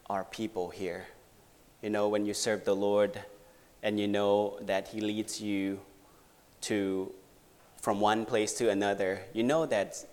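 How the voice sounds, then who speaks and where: Thai male preacher, unhurried, halting delivery with fillers, lowish voice, long room reverb. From Thailand. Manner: halting, earnest, many pauses and fillers. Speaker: visiting missionary preacher. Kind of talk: testimony in a church service. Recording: reverberant hall.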